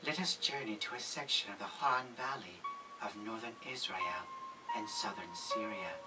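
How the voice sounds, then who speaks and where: A man playing a grand storyteller, narrating an adventure audio drama with theatrical, measured phrasing. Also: medium pitch, poor audio. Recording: loud guitar music behind voice.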